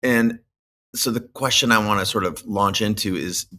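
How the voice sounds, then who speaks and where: A man hosting an interview show, asking long, winding questions at a measured pace. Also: on the low side, pushed and bright. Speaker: podcast host.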